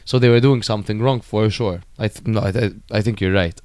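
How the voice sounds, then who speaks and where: A man co-hosting a podcast, discussing football casually and haltingly, repeating words as he reasons. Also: fairly low pitch, a little mellow, clean tone, no room sound. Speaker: podcast co-host.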